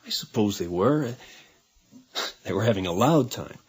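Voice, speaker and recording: Anxious, halting father in radio drama. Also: lowish voice, expressive, darkish tone, breathy edge, exaggerated voice. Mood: defensive, uneasy. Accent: American. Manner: hesitant, halting, defensive. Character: anxious, troubled father of a small boy. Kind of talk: naturalistic radio drama acting.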